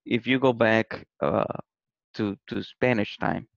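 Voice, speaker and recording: Man, gravelly, hesitant guest, dry recording. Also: fairly low pitch, fairly even intonation, takes it slowly, no room sound. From Puerto Rico. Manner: hesitant, many fillers and repeated words. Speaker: podcast guest. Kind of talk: casual conversation. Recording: dull, dry lo-fi sound.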